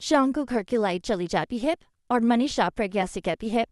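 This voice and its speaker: A woman's voice: a little high, expressive, moderate pace. A woman narrating a scripted cartoon family story. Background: background music.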